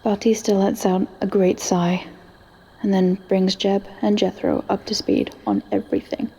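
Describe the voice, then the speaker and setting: A woman narrates scripted drama in a flat, dark, creaky voice. Long reverb hangs around her words. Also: mid-range voice, normal speed.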